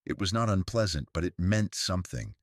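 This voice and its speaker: Man as a discreet, quietly probing woman visitor. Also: deep voice, expressive, a little fast, no room sound, studio quality.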